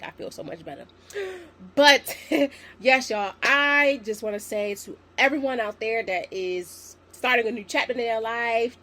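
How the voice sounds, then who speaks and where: A young woman in her twenties hosting a solo podcast, slightly raspy from a sinus infection, chatty and encouraging as she talks to her listeners. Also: a little high, a bit bright. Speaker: podcast host. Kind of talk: casual solo podcast talk.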